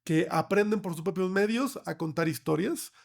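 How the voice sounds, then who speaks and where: A slightly hoarse man with a Mexican accent explaining things conversationally as a podcast guest, often ending on tag questions. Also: high voice, moderate pace. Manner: checks in with frequent tag questions. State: slightly hoarse from getting over a cold. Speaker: podcast guest, film researcher.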